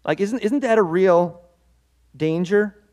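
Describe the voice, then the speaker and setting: Man, pastor, devotional sermon, earnest, chatty, fairly high voice, pitch rising and falling for emphasis. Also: slow, a little mellow. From Norwegian-American heritage. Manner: conversational and earnest, with self-deprecating asides.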